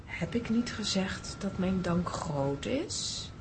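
A woman's voice: medium pitch, lively intonation, takes it slowly, a little hiss or hum. A woman playing a solemn, benevolent earth mother goddess in a children's audio drama, stately and formal.

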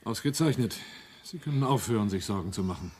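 An actor playing a sardonic, commanding schemer in a German radio play, giving orders with mocking courtesy. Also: male voice, on the low side, animated, fairly quick, darkish tone, slight background noise, close to an everyday voice. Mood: wry, commanding.

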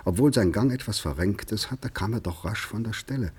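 Radio drama narrator: man, very low, husky voice, scripted literary storytelling. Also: animated, normal speed, a little mellow.

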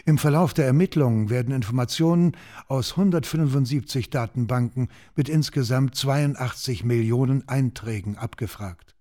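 A man's voice: mid-range voice, a little mellow. A man reading scripted radio documentary narration in a factual, sober manner.

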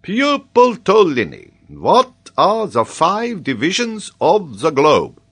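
A man voicing an excitable, pompous middle-aged geography professor in a storybook reading, with emphatic, exclamatory delivery. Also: high voice, takes it slowly, husky, voice pushed up, full character voice. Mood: solemn, pompous.

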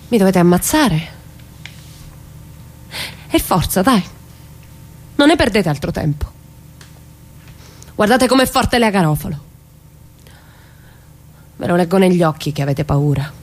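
An actress playing a courageous, bitter mother and doomed witness in an Italian radio stage drama, with intense, deliberate delivery. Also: on the low side, lively intonation. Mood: solemn, defiant.

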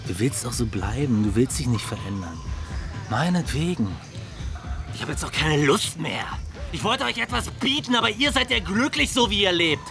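An actor playing a pushy, condescending acquaintance who scorns and tempts, in a naturalistic radio drama. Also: male voice, fairly high pitch, sing-song, moderate pace, creaky, over music. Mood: exasperated. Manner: needling, condescending.

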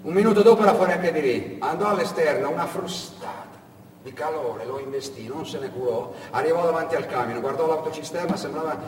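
Male theatrical storyteller, intense, vivid, hushed-to-loud delivery, distant mic, echoing hall, some noise. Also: on the high side, brisk. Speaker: solo stage storyteller. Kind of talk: dramatic theatrical narration with vocal sound effects. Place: reverberant indoor performance space. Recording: echoey hall from far off, noisy.

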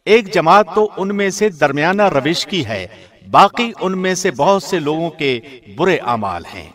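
A man reading a scripted scripture translation in a measured, formal radio-presenter manner. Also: fairly high pitch, very animated, a bit bright. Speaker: translation reader, radio host.

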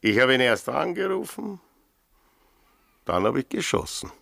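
An actor playing a wry, suspicious village police sergeant in a radio drama, with a dry, probing, gravelly delivery. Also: male voice, mid-range voice, big pitch swings, unhurried, a bit bright, no echo, heavily acted. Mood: sardonic.

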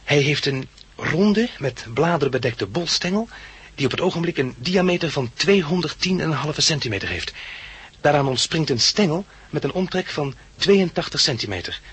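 A man's voice: on the high side, expressive, normal speed, fairly bright tone, breathy edge, heavily acted. An actor playing an eager, polite young plant enthusiast who tells his story in a 1960s Dutch radio drama. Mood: eager, nervous. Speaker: lead character and narrator.

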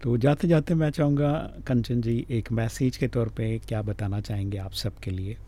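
A man with an Indian accent hosts a radio talk show in a mellow, soft-edged voice. He chats casually with a guest and often adds short affirming murmurs. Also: medium pitch, moderate pace, slight background noise. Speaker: radio host.

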